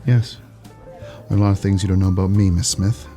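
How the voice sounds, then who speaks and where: A man's voice: low-pitched, steady tone, fast, dark tone, soft background music, natural voice. An actor playing a teasing, proud, persistent male shop clerk in a radio romantic comedy, wry and mock-polite. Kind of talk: old-time radio romantic comedy acting.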